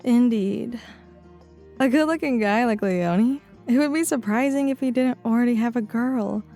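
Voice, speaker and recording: Actress plays a reserved young hotel worker; high, quiet, guarded. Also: female voice, moderate pace, soft background music, lightly acted. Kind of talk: audio drama acting.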